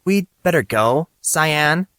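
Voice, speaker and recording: Man putting on an annoyed teenage girl, pitched up. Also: expressive, slow pace, sharp tone, no echo, studio quality, exaggerated voice. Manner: impatient, annoyed. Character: annoyed, upset teenage girl, bossy sister.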